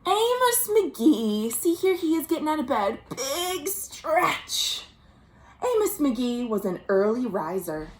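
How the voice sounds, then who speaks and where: An American woman reading a picture book aloud to young children, with chatty asides and gentle questions, drawing out key words. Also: high voice, big pitch swings, slow pace. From United States. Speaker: children's librarian hosting a virtual storytime. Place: at home.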